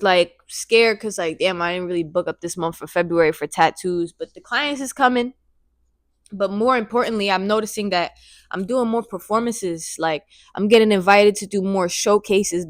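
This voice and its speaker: A woman in her twenties talking straight to camera in a casual, rambling vlog, excited and repeating her phrases, speaking into a handheld microphone. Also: mid-range voice, no echo, studio quality. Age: 20s. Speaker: vlogger.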